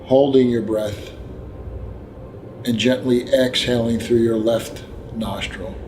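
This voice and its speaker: A man narrating a guided meditation, giving gentle, unhurried instructions with long pauses. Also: medium pitch, steady tone, slight background noise. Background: background music.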